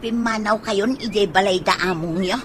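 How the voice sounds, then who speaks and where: A woman's voice: medium pitch, moderate pace, background noise, close to an everyday voice. A woman with an Ilocano accent playing a perky, inquisitive young neighbor in a radio comedy drama. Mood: chatty, curious.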